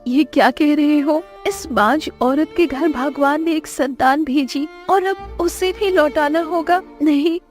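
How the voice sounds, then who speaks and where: A woman acts out a poor village mother in a cartoon story. Her voice is high and emphatic, tender yet fiercely protective of her child. Faint music plays behind her. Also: slow, few pauses. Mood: desperate, pleading. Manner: emphatic, pleading, scolding. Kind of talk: Hindi cartoon story acting.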